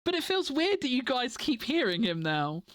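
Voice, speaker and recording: A woman's voice: sits high, big pitch swings, moderate pace, no room sound, clean studio sound. A woman streamer chatting casually to her viewers, stuffy and nasal from a cold, rambling and giggling often. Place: home desk. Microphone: desk mic.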